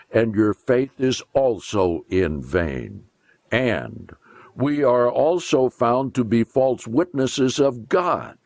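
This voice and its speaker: A man reading scripture aloud as a pastor would, in a solemn style with deliberate, emphatic phrasing and measured pauses. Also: on the low side, darkish tone, no echo.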